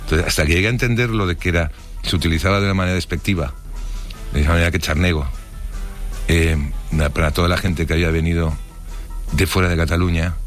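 A middle-aged man with an accent from Spain, recalling his life in a casual, colloquial way, halting and reflective as he goes. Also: sits low, fairly even intonation, faint music behind. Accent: Spanish from Spain. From Barcelona, Spain. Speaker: interviewee telling his life story. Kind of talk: casual spoken recollection.

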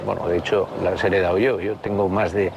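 A man's voice: low-pitched, flat delivery, fast talker, gravelly, loud music behind the voice. A man, telling his life story as an interview guest in a thoughtful, hesitant way with many fillers and restarts, outdoors on a city street. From Basque Country. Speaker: interview guest, party member. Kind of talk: reflective personal storytelling. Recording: loud outdoor street noise.

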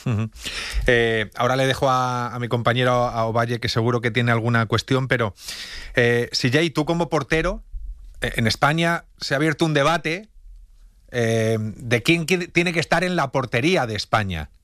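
A man's voice: mid-range voice, normal speed, fairly bright tone, dry recording, studio quality. A man interviewing a footballer, friendly and encouraging, with frequent filler sounds. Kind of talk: sports interview.

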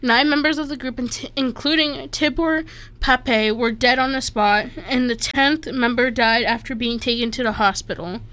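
A woman's voice: on the high side, steady tone, moderate pace, fairly bright tone. A woman from Slovakia casually reading an article aloud, stumbling over names and adding offhand asides.

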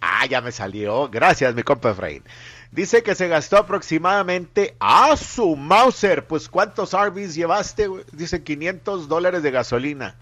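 Guy hosting morning radio, playful and teasing, bit higher voice. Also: expressive, measured pace, sharp tone. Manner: playful, teasing, reads listener messages aloud. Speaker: radio show host. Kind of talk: joking morning radio banter.

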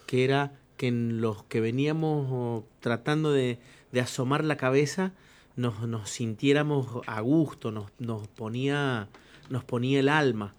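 A man with an Argentine accent, a guest musician, talking humbly with many pauses and fillers. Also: medium pitch. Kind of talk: casual chat.

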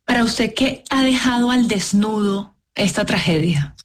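A woman's voice: fairly high pitch, expressive, unhurried. A Venezuelan-woman, conducting a conversational interview. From Colombia and Venezuela.

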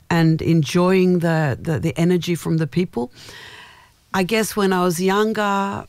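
A middle-aged woman with a naturally deep voice, a singer talking in a radio studio interview, thoughtful and halting, with many restarts. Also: a little mellow, no room sound. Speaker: guest singer.

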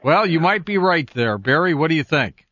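A man's voice: on the high side, big pitch swings, normal speed, fairly bright tone, dry recording. A man with an American accent hosting a radio call-in show in a casual, conversational style. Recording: dull, dry radio broadcast.

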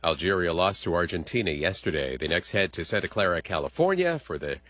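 Husky, bright-toned radio newsman. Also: sits low, very animated, brisk, dry recording. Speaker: radio news anchor. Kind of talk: news reading.

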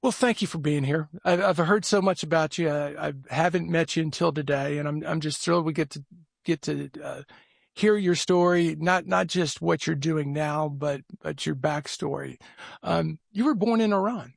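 A man's voice: a little high, moderate pace, gravelly, no room sound, clean studio sound. A man hosting a podcast in a friendly, casual interview style, often repeating words as he starts a sentence. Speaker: podcast host.

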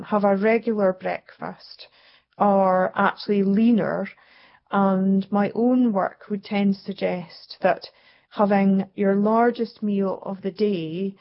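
Brief: woman scientist, calm explainer, mid voice, dull broadcast audio. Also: medium pitch. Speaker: researcher guest. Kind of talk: radio interview answer.

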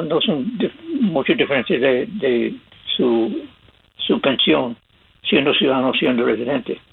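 Raspy older man on a tinny line. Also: fairly high pitch. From California, USA. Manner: plain-spoken, laughs while telling stories. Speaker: immigration advice guest. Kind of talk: casual radio advice talk. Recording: tinny phone-line sound.

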